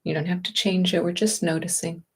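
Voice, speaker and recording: A woman leading a guided meditation and gentle movement practice as a teacher, speaking in a gentle, unhurried, reassuring way. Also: on the low side, flat delivery, slightly rough.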